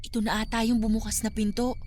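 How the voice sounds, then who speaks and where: A woman's voice: on the high side, little pitch movement, quick pace, darkish tone, a little hiss or hum, natural voice. A woman acting a frightened young vlogger on a ghost hunt in a radio horror drama, with breathless urgency. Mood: eager, daring.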